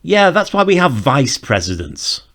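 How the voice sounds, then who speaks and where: A man's voice: on the high side, very animated, unhurried, over music. A man giving wry, joking commentary in short quips over an old audio drama. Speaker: comedy riffer.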